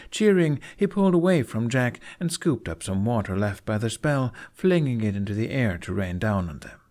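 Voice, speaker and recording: A man's voice: mid-range voice, big pitch swings, moderate pace, darkish tone. A man narrating a scripted fiction story expressively, as an audiobook reader. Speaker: narrator of a fan fiction podfic.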